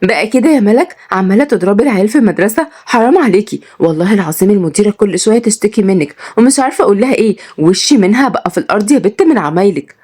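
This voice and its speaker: A woman voicing a gentle, loving young wife in an audio story, with an Egyptian accent. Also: lowish voice, lively intonation, very fast, slightly rough. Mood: exasperated. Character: gentle, loving young wife, mildly scolding older sister.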